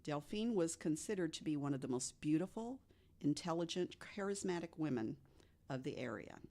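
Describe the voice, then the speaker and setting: A woman telling stories conversationally as a podcast guest, with deliberate, emphatic phrasing. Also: deep voice, lively intonation, mellow. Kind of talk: conversational storytelling of ghost stories.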